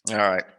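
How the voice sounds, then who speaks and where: Playful male interviewer with a very bright voice. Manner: playful, jokey, musing. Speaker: interviewer, host. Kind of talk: casual joking chat interview.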